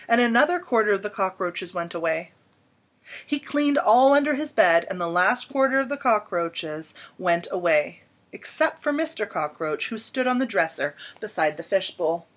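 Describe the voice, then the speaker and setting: A woman in her thirties reading a children's picture book aloud in an expressive, dramatic storytelling manner with emphatic pauses. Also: medium pitch. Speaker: storybook reader. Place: small indoor room at home.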